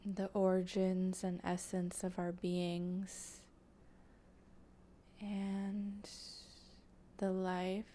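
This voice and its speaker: Slow, dark-voiced woman, soft and breathy. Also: lowish voice, monotone, a little hiss or hum. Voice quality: soft, breathy, often slipping into whisper. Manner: slow, halting, gentle delivery with long pauses. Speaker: guided meditation host and channeler. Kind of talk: improvised channeled guided meditation.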